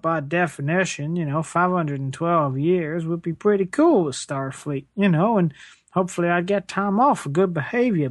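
Someone plays a plaintive space plumber in an improvised comedy interview. The voice is medium in pitch and recorded close and dry, rambling hesitantly through absurd complaints with earnest deadpan. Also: no room sound, natural voice. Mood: resigned. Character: hard-done-by, whiny working-class space plumber, earnestly absurd.

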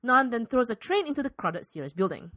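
A woman's voice: a little high, big pitch swings, brisk, a bit bright, no room sound, studio quality. A woman reading scripted narration for a spoof radio play as its narrator. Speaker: podcast host and narrator.

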